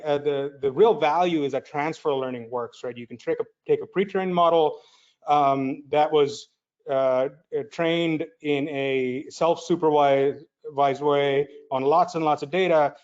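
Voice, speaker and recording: A man presenting a technical talk at a conference, speaking haltingly with fillers and restarts. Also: fairly high pitch, darkish tone, dull audio.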